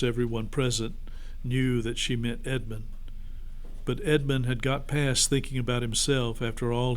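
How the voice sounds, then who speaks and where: A man's voice: mid-range voice, low-quality recording. A man reading a children's storybook aloud as a narrator.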